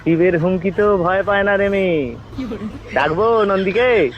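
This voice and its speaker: A man voicing the god Shiva as a bossy, teasing, mock-grand prank caller in an improvised comic phone call. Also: sits high. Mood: indignant, threatening. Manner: mock-grand, teasing, scolding, drawn-out words. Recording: muffled, noisy phone call recording.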